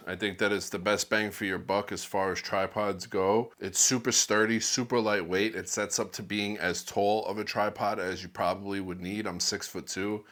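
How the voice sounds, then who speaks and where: A man with a deep, bassy voice giving a relaxed, enthusiastic rundown of his camera gear, recorded on a wireless clip-on mic. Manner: relaxed, enthusiastic product talk. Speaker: videographer vlogger.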